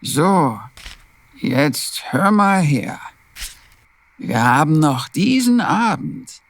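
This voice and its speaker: Man voicing a broken, bitter male storyteller, gruff, extremely slow, swinging pitch, fantasy audio drama. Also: sing-song, dry recording, exaggerated voice. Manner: gruff, weary, bossy. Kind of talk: fantasy audio play acting.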